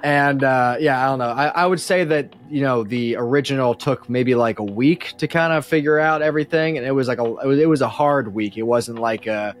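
A man chatting casually as a podcast guest, explaining in a halting, self-effacing way. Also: mid-range voice. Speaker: podcast guest, musician and composer. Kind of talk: casual chat.

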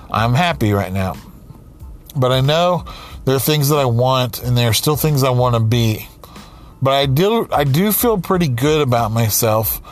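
A man around forty speaking slowly and candidly, pausing and restarting as he thinks aloud. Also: medium pitch. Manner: slow, halting, with many restarts and pauses. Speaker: aspiring writer, comedian and podcaster. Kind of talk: reflective personal monologue.

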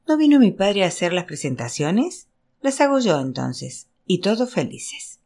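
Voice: medium pitch, big pitch swings, unhurried, darkish tone. A storyteller plays a big, breezy young man, the boss's son in a novel. He is cheerful and conciliatory, waving away every quarrel.

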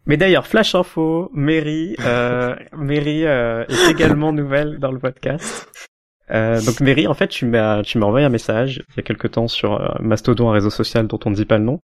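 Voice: mid-range voice, normal speed. A podcast host in casual chat, introducing guests in a playful way and laughing often.

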